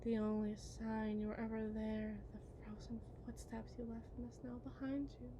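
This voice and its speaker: A woman playing a possessive, manipulative, lovesick kidnapper wife in a theatrical, condescending ASMR roleplay monologue, drawing out her words and swinging from hushed to emphatic. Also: on the high side, flat delivery, normal speed, dull audio, noisy background, close to an everyday voice. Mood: reproachful.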